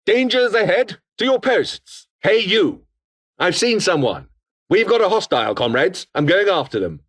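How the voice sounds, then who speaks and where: A man's voice: high voice, big pitch swings, moderate pace, a bit bright, heavily acted. A male actor voicing a menacing, foul-mouthed revolutionary foot soldier with a British accent, taunting and theatrical in video game barks. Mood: menacing, rallying.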